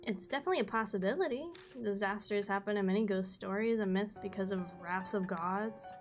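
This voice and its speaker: A woman's voice: mid-range voice, a little fast, faint music behind, natural voice. A woman voicing a confident young female reporter who speaks eagerly and asks a lot of questions.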